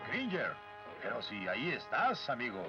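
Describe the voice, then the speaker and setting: An actor playing a brave, kindly cartoon hero, confident, with a Latin American accent. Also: male voice, mid-range voice, wildly swooping pitch, moderate pace. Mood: caring. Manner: confident, heroic. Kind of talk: Latin American Spanish cartoon dub acting. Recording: dull lo-fi dub, loud music behind.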